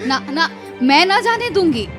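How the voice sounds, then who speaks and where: A woman's voice: very high voice, sing-song, a little slow, over music, heavily acted. A woman playing a kind-hearted, repentant young wife in a radio drama, imploring urgently and repeating her words. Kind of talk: Hindi radio drama acting.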